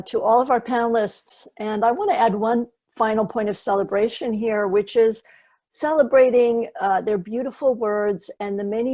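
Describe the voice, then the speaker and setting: A woman with a mid-range voice moderates a book panel over a video call. She speaks earnestly and warmly thanks the panelists. She picks her words as she goes, with an occasional uh or um. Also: medium pitch. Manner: earnest, with scattered fillers.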